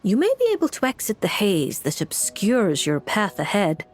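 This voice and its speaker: An actress playing a composed, mysterious noblewoman fortune-telling mage, theatrical and deliberate, in a video game. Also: medium pitch, sing-song, close to an everyday voice. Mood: ominous. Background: music.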